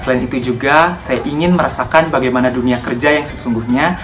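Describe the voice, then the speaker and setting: A woman's voice: rumbling low, fairly even intonation, fairly quick, faint music behind. A twenty-year-old woman, a creative writing intern, speaking in a polished, formal way. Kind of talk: formal testimonial.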